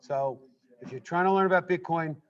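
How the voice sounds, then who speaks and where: A man's voice: fairly high pitch, brisk. A man talking casually as a guest, friendly and effusive, his voice worn from talking. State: losing his voice. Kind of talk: casual conversation. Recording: dull, muffled livestream audio.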